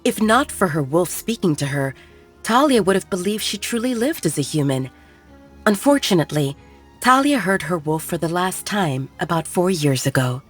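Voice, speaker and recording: A woman narrating a scripted fiction story in a measured, expressive storytelling manner. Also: fairly low pitch, husky, soft background music. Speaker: audiobook narrator.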